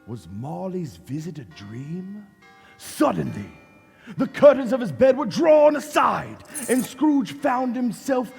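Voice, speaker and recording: A man narrating a radio play in a deliberate, dramatic storytelling manner, recorded on a reverberant theatre stage. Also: sits high, creaky, darkish tone, exaggerated voice. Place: theatre stage, live performance at featured mics. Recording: echoey theatre stage, faint music.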